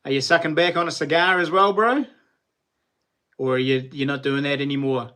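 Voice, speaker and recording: A middle-aged man with a New Zealand accent, talking casually to his viewers on a livestream. His voice sits a little high for a man. He rambles, laughs often and drops in plenty of slang. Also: steady tone, moderate pace, pushed and bright, smooth. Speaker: livestream host.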